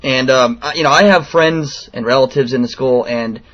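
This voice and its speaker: A man in his late twenties making an earnest, persistent complaint over the phone in a halting, informal way on a lo-fi recording. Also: mid-range voice, fairly bright tone. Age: late 20s. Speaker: radio show host calling in a complaint. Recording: dull, lo-fi phone call recording.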